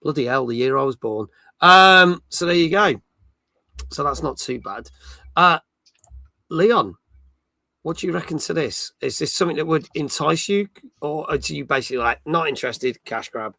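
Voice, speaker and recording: Bright, higher-pitched British man hosting. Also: moderate pace, gravelly, no room sound. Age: 40s. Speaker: host of a gaming live stream. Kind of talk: casual chat, reading out live comments.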